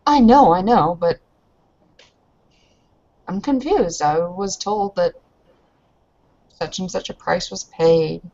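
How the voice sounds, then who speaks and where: A woman's voice: fairly low pitch, slow pace, pauses often, warm tone. A woman playing a candid, hesitant young newlywed bride who is frustrated, in improvised tabletop roleplay. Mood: frustrated, confused. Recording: dull lo-fi voice recording.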